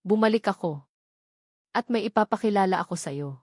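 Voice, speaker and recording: A woman's voice: mid-range voice, fairly quick, a little mellow, dry recording, natural voice. A resolute, bitter young single mother played by a woman storyteller in a romantic drama. She speaks firmly and pointedly, challenging her former lover. The recording is dry. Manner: firm, emphatic, challenging.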